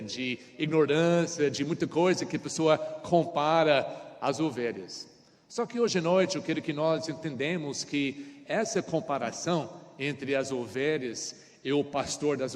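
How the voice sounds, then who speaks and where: American pastor preaching in echoing hall. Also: male voice, a little high. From United States. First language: English. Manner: speaks in short phrases with small grammar slips and frequent "tá?". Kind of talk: sermon. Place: church sanctuary.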